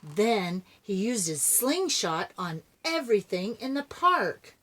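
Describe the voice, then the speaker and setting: Older woman, children's storybook reading, highly varied intonation, slow pace, chatty asides. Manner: expressive, with chatty asides to young listeners. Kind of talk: animated read-aloud storytelling.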